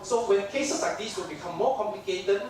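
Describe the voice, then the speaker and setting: Singaporean-accented male lecturer, chatty, high-pitched, miked from far off in a reverberant hall. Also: moderate pace, a little mellow. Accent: Singaporean English. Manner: chatty, joking, many fillers. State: jet lagged. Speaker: dental lecturer. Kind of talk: conference lecture. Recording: echoey hall mic from far off, audience noise.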